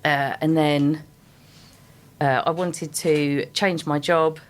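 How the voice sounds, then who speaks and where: A middle-aged woman, a guest on a radio show, speaking with a voice deeper than usual because she is unwell, chatty and enthusiastic. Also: fairly even intonation, takes it slowly. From England. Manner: chatty, enthusiastic about her work. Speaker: guest, sales and marketing manager. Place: radio studio.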